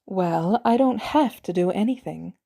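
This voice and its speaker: Storybook role: wry, unbothered woman, acted by a female reader, mellow tone, dry understated delivery. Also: mid-range voice, expressive, measured pace, creaky. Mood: casual, kind. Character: cool, wry, easygoing woman with dry humour.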